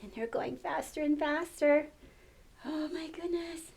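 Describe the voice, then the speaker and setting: A woman's voice: high voice, fairly even intonation, unhurried, creaky, a little mellow. An older woman reading a storybook aloud to children, expressively, with exclamations and questions to the listener.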